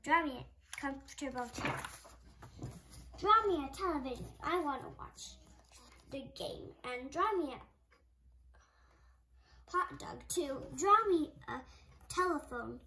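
A child voicing a grumpy, hungry storybook monster making bossy, loud demands. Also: high voice, lively intonation, slow, long pauses, slight background noise, full character voice. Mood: bossy, demanding.

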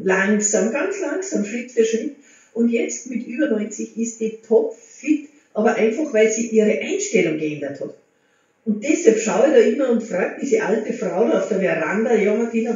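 A woman, chatting to camera at home as a motivational vlogger and gesturing as she talks. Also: mid-range voice. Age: 60s. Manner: chatty, gestures with hands, warm encouraging tone. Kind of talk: casual talk to camera. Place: living room at home. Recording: very echoey room, slightly dull sound.

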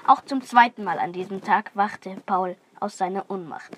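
A woman's voice: mid-range voice, moderate pace, fairly bright tone, a little hiss or hum. A woman reading scripted narration for a children's radio play. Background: music.

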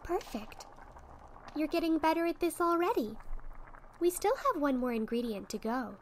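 A woman playing a sweet, encouraging young witch in an ASMR roleplay, speaking in a gentle, soothing manner. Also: high-pitched, big pitch swings, moderate pace, a little hiss or hum. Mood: patient, instructive. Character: sweet, encouraging young witch tutoring her shy friend. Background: soft potion bubbling and fizzing effects.

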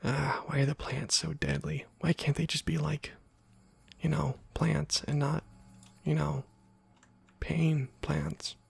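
A man softly whispering casual, rambling ASMR commentary over a video game, with a breathy, husky voice. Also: on the high side, level tone, takes it slowly. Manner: soft-spoken, rambling to himself. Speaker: ASMR gaming host.

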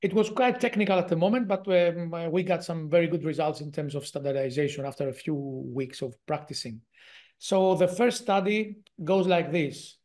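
A man's voice: fairly high pitch, moderate pace, a little mellow. Greek-accented man on a video call. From Greece. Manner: hesitant, many fillers and restarts. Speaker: guest researcher. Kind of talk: casual interview talk. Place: home office with shelves.

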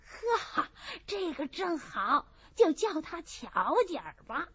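Lady doing a folksy old village granny for a storybook, humble n grateful, slow, swoopy voice. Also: high voice, big pitch swings, pushed and bright, dry recording, voice pushed up, exaggerated voice. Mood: cheerful, assured. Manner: folksy, exclaiming, self-effacing. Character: good-humoured, humble old peasant granny, effusively grateful. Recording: dull, muffled audio.

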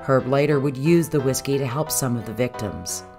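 A woman's voice: a bass voice, normal speed, over music. A woman reading scripted narration for a history documentary.

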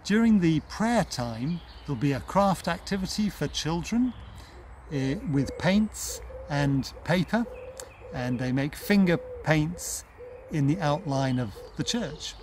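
A man in his fifties leads an online church service in a measured, unhurried way. His voice sits a little high for a man. He is recorded outdoors in a garden, with faint ambient noise around him. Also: expressive, darkish tone. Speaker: lay reader leading an online church service. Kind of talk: welcome, notices and spoken prayer to camera.

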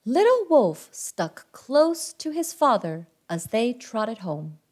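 High-voiced, expressive woman storyteller. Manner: expressive, deliberate. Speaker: read-aloud host and narrator. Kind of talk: children's picture book storytelling.

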